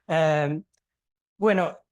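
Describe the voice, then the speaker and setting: Hombre portugués con acento chileno, voz algo aguda. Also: male voice, fairly high pitch, steady tone, drawn-out words, a little mellow. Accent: Chilean-tinged Spanish. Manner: hesitant, many fillers and restarts. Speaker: seminar speaker. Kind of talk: academic lecture. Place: lecture hall.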